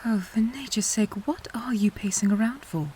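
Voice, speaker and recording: A woman's voice: fairly high pitch, moderate pace, warm tone, a little hiss or hum, natural voice. A woman playing a grumpy, impatient female investigator in an audio drama, blunt in manner. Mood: irritated.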